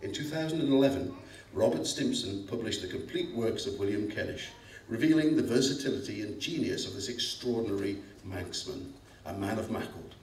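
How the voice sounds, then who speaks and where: A man's voice: lowish voice, expressive, a little fast, darkish tone. A middle-aged man reading a scripted introduction aloud as a presenter. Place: school hall stage before an audience. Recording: echoey hall, distant microphone.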